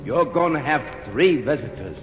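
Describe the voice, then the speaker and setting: An actor playing the ghost of a dead business partner, slangy and matter-of-fact, with ghostly reverb in 1940s radio style. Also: male voice, medium pitch, lively intonation, moderate pace, slight background noise. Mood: earnest warning. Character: wisecracking, chain-laden male ghost of dead business partner. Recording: muffled old radio, heavy echo, music behind.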